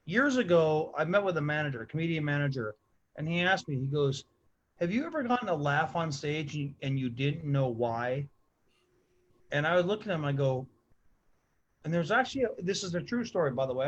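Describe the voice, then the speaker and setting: A man's voice: on the high side, animated, fairly quick, a little hiss or hum. A middle-aged man hosting a comedy show, telling stories in a rambling way and repeating himself. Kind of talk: casual chat. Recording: dull lo-fi sound.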